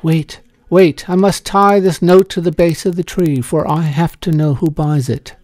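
A man voicing a wistful, tender old woman in a story reading, earnest and measured. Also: fairly high pitch, fairly even intonation, darkish tone, dry recording, pitched above the performer's own voice, close to an everyday voice. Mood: anxious.

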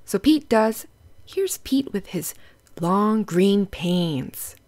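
A woman's voice: mid-range voice, lively intonation, very slow, a little mellow. A woman hosting a children's storybook read-aloud, explaining things in simple, instructive phrases and putting questions to young listeners.

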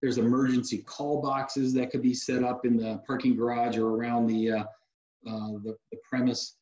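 A man's voice: mid-range voice, moderate pace. Brief: man in his forties, industry guest, easygoing product explainer, roomy echo, dull webcam sound. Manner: relaxed, practical, sales-savvy explainer, many uh fillers. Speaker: security technology company guest. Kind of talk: video call interview, explaining products. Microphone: video call webcam mic. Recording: echoey room over a dull video call.

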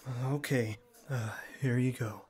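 A man playing a shy, earnest young college student with a cool front, halting and formal, in a scripted ASMR roleplay. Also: medium pitch, fairly even intonation, a little mellow, a little hiss or hum, natural voice. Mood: nervous, formal. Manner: halting, stammering, formal wordy phrasing.

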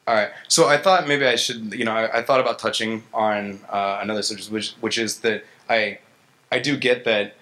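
Low, bright-voiced man giving an informal talk. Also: fairly even intonation, poor audio. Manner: halting, conversational, frequent "like" and "right?". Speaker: conference speaker, software engineer. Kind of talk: informal lightning talk, answering questions. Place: gallery event space, indoors, on stage. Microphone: handheld-style vocal mic on a stand.

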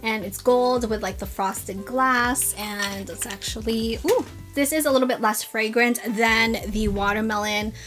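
A woman's voice: fairly high pitch, a little slow, fairly bright tone, faint music behind. A young woman vlogger chatting casually about beauty products she bought, upbeat and gushing, recorded at her desk at home. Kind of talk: casual haul chat. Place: home filming room with vanity and desk.

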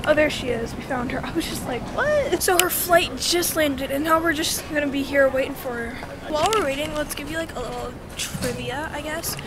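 A young teenage girl, about thirteen, chatting casually and excitably as a vlogger into a handheld camera. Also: sits high, a little fast, hardly any pauses. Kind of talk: casual vlog chat. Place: busy airport terminal, later a car. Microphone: handheld camera at arm's length. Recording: noisy handheld camera audio, bustling airport.

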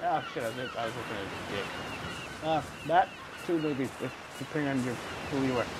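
A man's voice: medium pitch, lively intonation, fairly quick. A young man hosting a video game session, chatting casually, swearing and laughing. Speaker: server host running game commands. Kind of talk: casual gaming chat. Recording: noisy game audio.

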